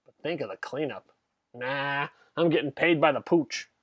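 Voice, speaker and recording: A man's voice: mid-range voice, normal speed, dry recording, clean studio sound, close to an everyday voice. A man playing a cowardly, flippant small-time crook who talks in whiny slang in a comic audio drama.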